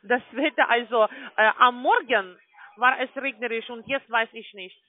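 A very high-voiced man comes through a tinny phone line. He has a foreign accent and works through a sales pitch haltingly, often asking the other person to repeat. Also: no room sound. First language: not German. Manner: halting, often asks for repetition. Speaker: call-centre sales agent.

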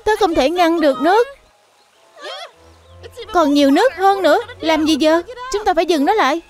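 A performer voicing an earnest, excitable young bear cub in a children's cartoon dub, with simple childlike delivery. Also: extremely high pitch, normal speed, faint music behind. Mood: urgent.

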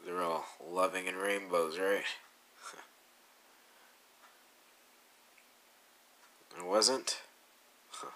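A man voicing a possessive young male villain in a fan audio roleplay, speaking softly and drawling, both teasing and worried. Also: deep voice, steady tone, slow, exaggerated voice, rough recording.

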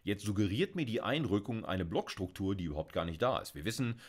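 A man's voice: on the low side, expressive, quick pace, husky. A middle-aged man from Germany giving a recorded programming lecture from a small home office, talking casually as he types and explains code, with frequent fillers. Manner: conversational, explains while typing, many fillers. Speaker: university lecturer.

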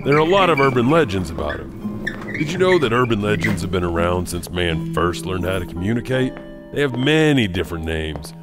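A man plays an eerie, chatty janitor hosting horror tales. His low voice swings up and down with folksy, dark playfulness, over clearly audible music. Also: animated, fairly quick. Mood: eager storytelling. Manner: rambling, folksy, darkly playful. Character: chatty, eerie, friendly male janitor storyteller. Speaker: host. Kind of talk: theatrical horror anthology narration.

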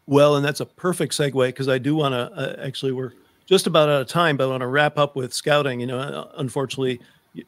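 A man's voice: mid-range voice, fairly even intonation, quick pace, no room sound. A middle-aged man hosting a conversational interview show, speaking close to a desk microphone. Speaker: talk show host. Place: home room lined with books, indoors. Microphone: close desk microphone on a video call.

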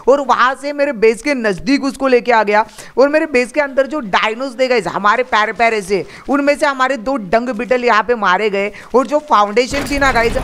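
A man's voice: very high voice, a bit bright. A young man hosting a game channel, giving chatty let's-play commentary that he fills with "guys" and "bhai" at every turn. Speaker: gaming channel host.

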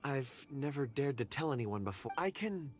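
An actor playing an earnest, soft-mannered young man with a mystic gift in a video game, speaking thoughtfully. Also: medium pitch, sing-song, normal speed, slight background noise, lightly acted. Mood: confiding. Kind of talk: video game character dialogue.

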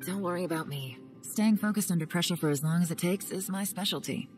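A composed secret agent speaks in a low, dry, self-assured voice, as a woman acts her out. She sounds unhurried and in control, with clear game music behind her. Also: darkish tone, lightly acted. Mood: cool. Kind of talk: game cutscene voice acting.

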